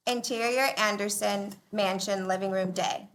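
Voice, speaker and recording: A woman's voice: mid-range voice, fairly even intonation, normal speed, fairly bright tone. A woman reading scripted stage directions as a narrator, recorded live on a slightly noisy microphone.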